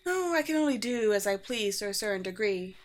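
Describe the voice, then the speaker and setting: A woman playing a courteous, teasing, world-weary vampire queen in a roleplay audio drama, with formal, old-fashioned and gently wry phrasing. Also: mid-range voice, animated, fairly quick, close to an everyday voice. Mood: gracious, playful. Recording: clear background noise with ambience.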